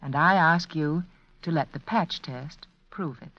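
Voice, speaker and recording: A woman hostess reading a scripted 1940s radio commercial in a measured, earnest, instructive way. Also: deep voice, very noisy. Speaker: sponsor's hostess. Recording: dull, lo-fi vintage radio broadcast.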